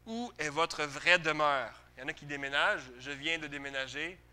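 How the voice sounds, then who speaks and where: A man's voice: fairly high pitch, normal speed, sharp tone, clear, resonant tone. A man with a Quebec French accent preaching a sermon to a congregation in an emphatic but conversational way, often putting questions to his listeners.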